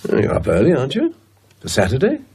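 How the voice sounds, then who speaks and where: A man's voice: a little high, huge pitch swings, quick pace, darkish tone, husky, exaggerated voice. An actor playing a shaken, hesitant man with a dry understated manner in a naturalistic horror radio drama. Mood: groggy, puzzled. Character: shaken, wry man recovering from a night terror.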